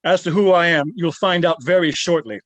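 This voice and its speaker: An actor playing a threatening, commanding mystery caller in a 1950s-style radio detective drama. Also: male voice, fairly high pitch, steady tone, brisk, a bit bright, dry recording, highs cut off, lightly acted. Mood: menacing. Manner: curt, commanding. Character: menacing male mystery caller, threatening extortionist.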